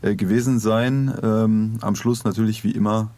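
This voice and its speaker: A man's voice: fairly low pitch, fairly even intonation, moderate pace, mellow, dry recording. A man co-hosting a podcast, chatting casually into a close microphone. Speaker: podcast co-host.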